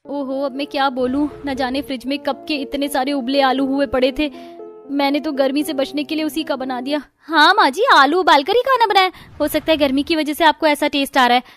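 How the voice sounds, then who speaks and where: Cartoon middle bahu, acted by a woman: lazy, crafty, high-pitched, music behind. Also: fairly even intonation, a little fast, fairly bright tone. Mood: evasive. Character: sly, lazy middle daughter-in-law, excuse-making.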